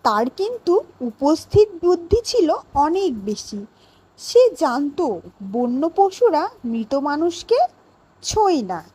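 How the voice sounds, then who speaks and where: Slow, high-pitched woman telling children's stories. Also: sing-song, mellow, low-quality recording. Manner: slow, deliberate, teacherly phrasing. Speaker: storyteller and teacher. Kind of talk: scripted children's story narration and classroom questioning.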